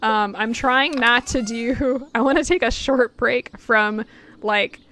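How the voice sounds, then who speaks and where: A woman's voice: fairly high pitch, slow pace, sharp tone. Chatty woman streamer with a tiring voice. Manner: chatty, rambling, many fillers and laughs. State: voice going hoarse after long stream. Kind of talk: casual chat while gaming.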